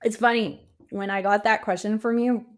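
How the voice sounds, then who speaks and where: Female podcast guest, fifties, mid-pitched. Conversational, emphatic coach-like encouragement. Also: steady tone, moderate pace. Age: 50s. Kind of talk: conversational interview answers.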